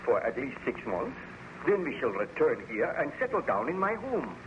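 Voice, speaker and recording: A man's voice: mid-range voice, big pitch swings, moderate pace, close to an everyday voice. An actor playing an anguished baron and scientist haunted by his creation, in a formal, theatrical old radio drama style. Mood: ardent, tender. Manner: formal, theatrical, fervent delivery. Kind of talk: 1930s radio drama acting. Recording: muffled, noisy old-time radio recording.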